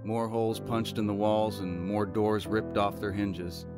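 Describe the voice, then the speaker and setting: An actor plays a troubled family man in an audio drama, gruff and guarded. His voice sits fairly low, with music underneath. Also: steady tone, a little slow, natural voice. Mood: somber. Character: gruff troubled husband and father, defensive drug addict.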